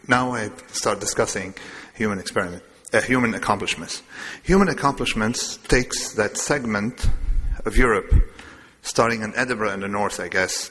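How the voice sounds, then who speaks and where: A man's voice: fairly low pitch, pushed and bright. A man from Lebanon giving a discursive public lecture, wandering between asides with a self-amused touch. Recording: long hall reverb.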